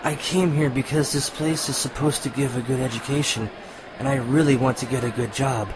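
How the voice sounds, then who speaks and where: A man playing a friendly, easygoing teenage boy in an amateur audio drama, casual and relaxed. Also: mid-range voice, fairly quick, lightly acted. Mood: amused. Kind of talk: amateur radio drama acting. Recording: noisy, busy crowd ambience behind.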